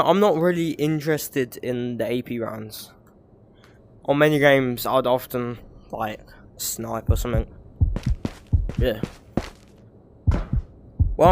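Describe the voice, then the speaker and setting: A man with a British accent giving rambling, casual commentary over his gameplay, swearing freely and filling pauses with "like" and "um". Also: medium pitch, background noise. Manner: rambling, frequent swearing and fillers. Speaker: gaming YouTuber.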